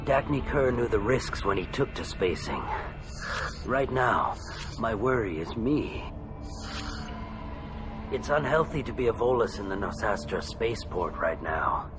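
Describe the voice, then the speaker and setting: A man voicing a small, anxious, self-serving alien merchant in a video game, with wheezy breath and halting phrases. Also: fairly low pitch, lively intonation. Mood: anxious, self-concerned. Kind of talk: game cutscene dialogue. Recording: game audio with music underneath.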